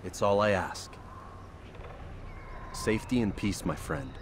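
An actor playing a cautious, principled young assassin in a video game, speaking gravely and earnestly with measured urgency. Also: male voice, lowish voice, lively intonation, background noise. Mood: grave, cautioning. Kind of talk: dramatic video game acting.

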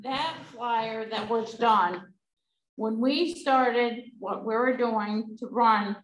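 A defensive woman with a fairly high voice insists on finishing her point. She speaks in an echoey town hall room. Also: slow pace, lo-fi sound.

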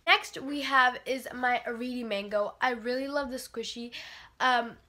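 A woman's voice: on the high side, fairly even intonation, moderate pace, sharp tone. A teenage girl chatting casually in her bedroom, reviewing toys while congested from a cold, rambling with many fillers. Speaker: vlogger. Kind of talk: casual product review vlog. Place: bedroom, indoors.

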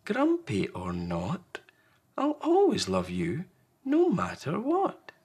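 A man's voice: high-pitched, wildly swooping pitch, takes it slowly, creaky, a little mellow, husky, pitched above the performer's own voice, heavily acted. A man voicing a big, patient, loving parent fox in a storybook, tender and reassuring.

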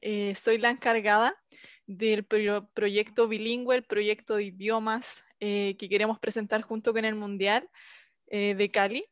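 A woman's voice: mid-range voice, little pitch movement, a little slow, no room sound, dull audio. A young woman with a Chilean Spanish accent, presenting a project on a video call through a headset. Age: young adult. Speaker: English teacher presenting a language project. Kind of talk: online presentation. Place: small indoor room, video call.

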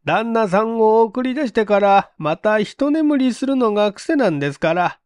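A man as a high-voiced, chatty landlady. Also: animated, moderate pace, a little mellow, dry recording. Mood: matter-of-fact. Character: talkative, matter-of-fact married landlady of a boarding house.

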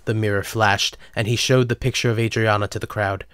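A man's voice: on the low side, flat delivery, a little fast, a bit bright, smooth, no echo, clean studio sound. A man narrating a scripted dramatic story as the narrator.

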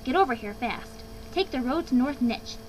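A woman's voice: sits high, lively intonation, moderate pace, a little mellow, slight background noise, low-quality recording. A woman voicing a brash, greedy male tycoon from a storybook, bossy and defiant, with emphatic rhyming delivery. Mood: excited, bossy.

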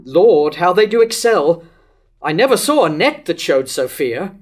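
A man's voice: sits high, very animated, unhurried, full character voice. An older man playing a wavering, lovesick king in a declamatory staged reading of verse tragedy. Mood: questioning. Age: older, about 60s to 70s. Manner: declamatory, rhetorical questioning. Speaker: actor reading Herod in a staged play reading. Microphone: stand microphone at a lectern.

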